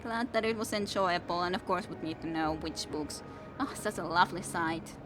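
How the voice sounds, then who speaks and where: Woman, young adult, non-native English, tired worn voice, soft musing chatter, slightly low pitch, clear game music underneath. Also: normal speed. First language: not English. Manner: soft, musing, self-talking. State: tired, voice worn from long reading. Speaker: streamer. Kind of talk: casual gameplay chat with viewers.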